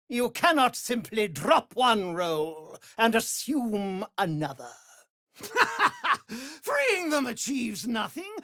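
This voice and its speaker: A man's voice: high voice, bright, forceful tone, no room sound, clean studio sound, exaggerated voice. An actor voicing a cruel, pompous male vampire lord in a video game, imperious and sneering in his delivery. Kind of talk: theatrical video game villain acting.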